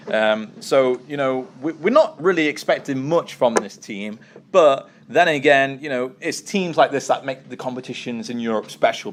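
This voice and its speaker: A man hosting a casual sports preview show, chatting with a co-host and often pausing with ums and uhs. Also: mid-range voice, a little hiss or hum.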